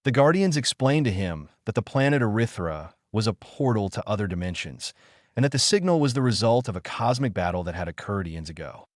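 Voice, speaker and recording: A man narrates a scripted story in a low voice. His delivery is animated and swings widely in pitch. The sound is close and dry, as in an audiobook. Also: a little fast, dry recording.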